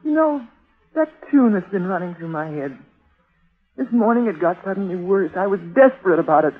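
Anguished young bride, breathy, dramatic, haunted, old radio thriller, dull recording. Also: female voice, mid-range voice, expressive, brisk. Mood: desperate. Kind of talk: 1940s radio acting. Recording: muffled old radio recording, music behind.

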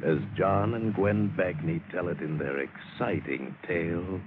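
A man's voice: low voice, unhurried, narrow, tinny audio. A man announcing a radio drama series in a dramatic mid-century scripted style. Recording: thin, noisy old radio recording.

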